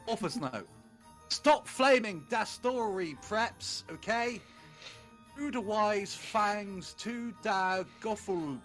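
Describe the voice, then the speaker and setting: A man casually reading amateur fan fiction aloud, deliberately sounding out its garbled spelling. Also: high voice, fairly bright tone. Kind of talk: casual read-aloud and banter.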